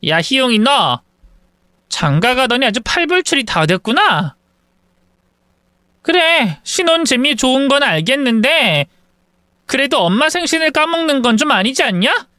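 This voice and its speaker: A man voicing a grown daughter who scolds her brother in a quick, indignant way. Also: very high voice, sing-song, sharp tone, no room sound, clean studio sound, pitched above the performer's own voice, full character voice.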